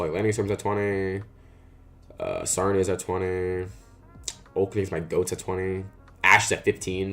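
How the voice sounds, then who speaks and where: A young man streaming a card game, chatting casually with a raspy morning voice and lots of "uh" and "like" fillers. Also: fairly low pitch, a bit bright. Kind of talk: casual live-stream chat.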